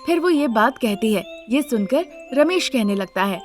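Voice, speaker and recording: A woman's voice: a little high, animated, normal speed, faint music behind. A woman reading a scripted family story as its narrator.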